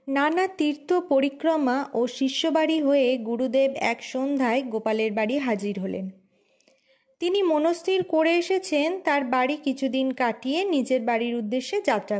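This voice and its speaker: A woman's voice: high voice, a little mellow. A woman hosting a story channel, reading a scripted tale as its narrator.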